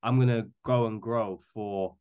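Rambling man in his twenties, fairly low voice. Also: fairly even intonation, measured pace, a little mellow, clear, resonant tone. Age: 20s. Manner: rambling, repeats words when starting sentences. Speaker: podcast guest. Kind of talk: casual chat.

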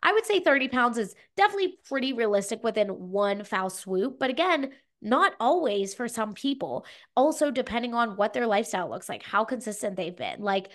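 A woman's voice: a little high, animated, fairly quick. A young adult woman co-hosting a fitness podcast, giving casual advice with lots of "like" and "you know", a little stuffy from a cold. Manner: chatty, frequent "like" and "you know". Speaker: podcast co-host, fitness coach.

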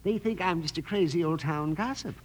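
An actor playing a greedy, scheming land baron with an oily, menacing manner in an old western radio drama. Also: male voice, fairly high pitch, brisk, lightly acted. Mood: gloating, scheming. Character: smug, scheming, greedy land-grabbing villain boss. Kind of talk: 1950s western radio acting.

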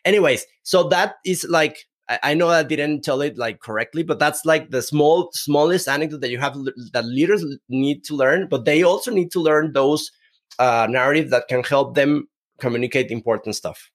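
A man from Mexico with a Mexican accent, a podcast guest who talks haltingly with many restarts. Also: a little high. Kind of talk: conversational interview.

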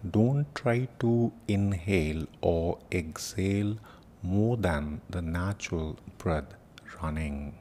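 A man leading a guided meditation as teacher, giving soft-spoken instructions with long pauses. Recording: noisy with low rumble.

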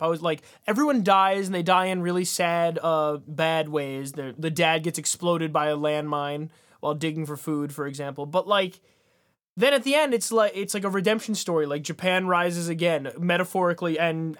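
A man's voice: fairly high pitch, moderate pace, a bit bright. A man co-hosting a podcast, chatting and reviewing in a casual, colloquial, wry manner. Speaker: podcast co-host.

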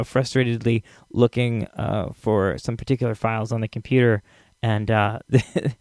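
A man's voice: medium pitch, darkish tone, dry recording, studio quality. A man hosting a podcast in casual solo commentary, rambling and hesitant, with wry amusement. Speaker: podcast host. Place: dry, close-miked recording.

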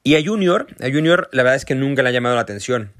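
A man in his thirties with a Mexican accent, speaking in a recorded video message as a parent and association founder, earnest and thoughtful, pausing often to find his words. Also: medium pitch, expressive, fairly bright tone, no echo, clean studio sound. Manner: earnest, thoughtful, with frequent hesitations.

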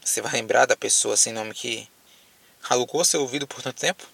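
A man acting in an audio drama as a lonely, frightened apocalypse survivor with a Brazilian accent, speaking haltingly as if on a phone call. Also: mid-range voice, vocal fry, a bit bright, husky, no room sound, distant mic. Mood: resigned despair. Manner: hesitant, halting, repeats words.

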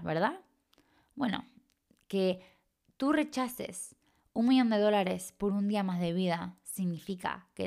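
A woman's voice: on the low side, vocal fry, darkish tone. Chilean woman hosting a reflective podcast. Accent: Chilean Spanish, slightly mixed. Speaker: podcast host and coach. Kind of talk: reflective solo podcast talk.

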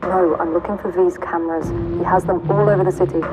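A woman's voice: on the low side, steady tone, quick pace, lightly acted, low-quality recording. An actress playing a no-nonsense woman fighter in a sci-fi audio drama, speaking in a clipped, businesslike way. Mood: urgent, terse. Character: brisk, no-nonsense woman resistance fighter in cyber suit.

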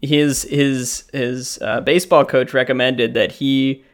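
A man's voice: mid-range voice, fairly even intonation, slow pace. A man co-hosting a podcast, talking in a wry, joking way and laughing often. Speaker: podcast co-host. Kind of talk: casual chat.

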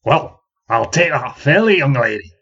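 A man's voice: on the high side, sing-song, moderate pace, breathy edge, dull audio, voice pushed up. A man voicing a boastful, stubborn old tavern storyteller who says ye, me and aye and holds forth grandly. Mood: proclaiming. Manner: dialect speech with ye, me and aye, proclaiming grandly.

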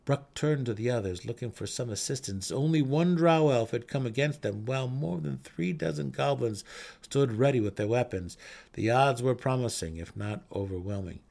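A male narrator with a mid-pitched voice. He reads fantasy prose as a dramatic audiobook, telling the action as a storyteller. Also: normal speed, gravelly, a little mellow.

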